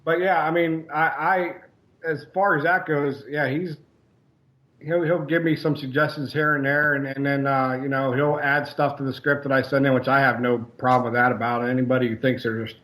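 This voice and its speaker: A man's voice: medium pitch, level tone, normal speed, a bit bright, rough recording. A man who writes comedy and voices a character, answering interview questions in a rambling, self-deprecating way with frequent restarts. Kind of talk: casual interview chat.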